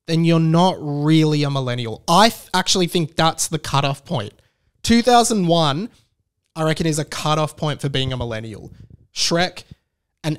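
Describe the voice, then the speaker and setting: Australian male podcast host, thirties, higher-than-average voice, casual delivery with wry, mock-serious musings. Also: smooth. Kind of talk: casual solo podcast commentary.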